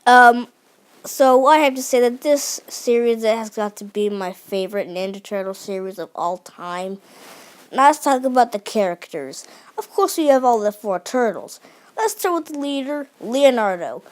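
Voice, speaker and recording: Young female reviewer, eager and unpolished, slightly high pitch, lots of restarts. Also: expressive, moderate pace. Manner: enthusiastic, many restarts and fillers. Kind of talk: unscripted video review.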